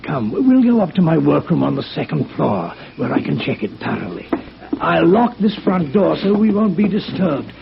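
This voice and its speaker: An actor playing a fussy, reverent master violin maker, hesitant and eager, in 1950s radio drama style. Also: male voice, a little high, big pitch swings, normal speed, creaky, exaggerated voice. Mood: awed, eager. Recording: muffled, noisy vintage radio recording.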